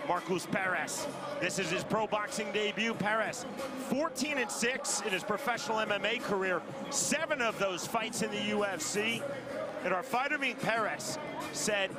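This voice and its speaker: Male play-by-play caller, high voice, polished and formal, music loud underneath. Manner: polished, formal broadcaster delivery. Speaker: play-by-play commentator. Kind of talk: fight broadcast commentary.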